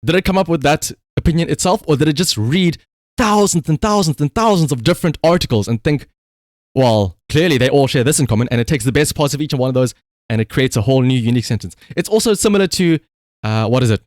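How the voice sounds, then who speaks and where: A man's voice: a little high, lively intonation, fast, dry recording, clean studio sound. A man giving casual livestream commentary in a rambling, conversational, emphatic way, as the host talking to viewers.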